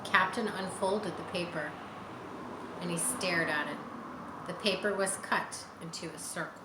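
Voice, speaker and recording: A woman's voice: fairly low pitch, normal speed. A woman in her forties reading a children's book aloud, dramatically and with explanatory asides for young listeners. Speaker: storyteller. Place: bright room by large windows. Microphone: camera a short distance away. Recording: roomy, some background noise.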